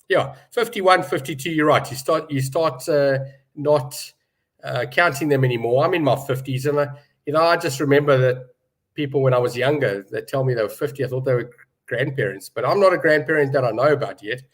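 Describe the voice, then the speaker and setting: A man's voice: medium pitch, a bit bright. Rambling man in his fifties. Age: 50s. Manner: rambling, candid, laughs easily. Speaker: betting pundit. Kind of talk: casual chat on a betting show.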